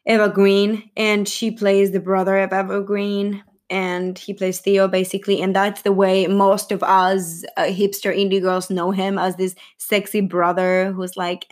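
A young woman vlogging casually about a film's cast, rambling and giggly, with lots of fillers and self-corrections. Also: mid-range voice. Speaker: film vlogger.

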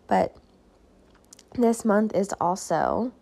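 A woman's voice: medium pitch, lively intonation, very slow, gravelly, mellow. A young woman in her twenties hosting a casual solo podcast, chatty and upbeat, talking straight to her listeners while losing her voice. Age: 20s. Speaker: podcast host and life coach.

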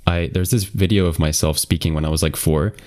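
A young man hosting a podcast, relaxed and laughing often as he asks follow-up questions. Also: sits low, a little fast. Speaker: podcast host. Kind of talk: casual interview chat.

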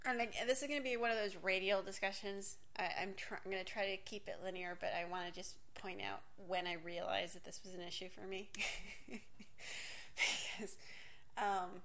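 A woman's voice: mid-range voice, steady tone, normal speed, fairly bright tone. A woman in her forties, raised in Miami, talks through a personal story in a loose, candid monologue. She interrupts herself, circles back, swears casually and laughs often. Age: 40s.

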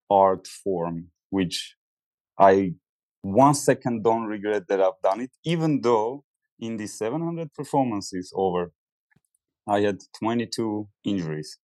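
A man in his forties, a former dancer, speaking as a podcast guest in earnest, halting English. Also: lowish voice, darkish tone, no room sound. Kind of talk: casual interview talk.

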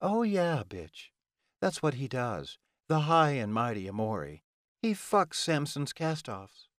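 A man's voice: medium pitch, big pitch swings, takes it slowly, fairly bright tone, no echo, clean studio sound, pitched above the performer's own voice. A man voicing a scornful, taunting female vampire in an audiobook, with a bitter, insulting manner. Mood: bitter, mocking.

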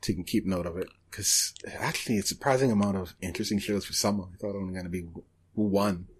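A man hosting a podcast, chatting casually about shows in a halting, hesitant way. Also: deep voice, breathy edge. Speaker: podcast host.

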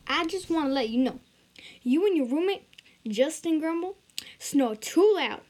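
A woman's voice: high voice, lively intonation, measured pace, noisy background. A woman acting cranky, bossy, bickering old roommates in an amateur comedy radio drama. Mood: annoyed.

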